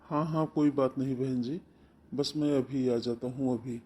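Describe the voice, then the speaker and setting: A man's voice: medium pitch, steady tone, normal speed, mellow, breathy edge, close to an everyday voice. A man voicing a poor, humble father of the bride in a cartoon, polite and pleading. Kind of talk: Hindi cartoon voice acting.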